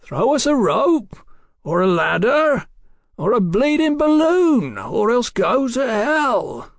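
A man voicing a brash, jovial man who feigns drunken rowdiness, bellowing roughly in an audiobook story. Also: extremely high pitch, very animated, slow, dry recording, clean studio sound, pitched above the performer's own voice, heavily acted. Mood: belligerent shouting.

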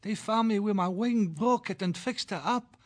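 A man's voice: high-pitched, normal speed, pitched above the performer's own voice. A man voicing a bold young male falcon with a Scottish accent and a brash, boastful manner. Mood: relieved.

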